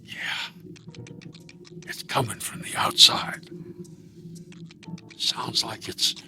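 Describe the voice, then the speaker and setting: A man playing a frightened, wounded husband in a suspense audio drama, halting and urgent but gruffly determined to fight. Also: whispered, over music. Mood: tense, wary.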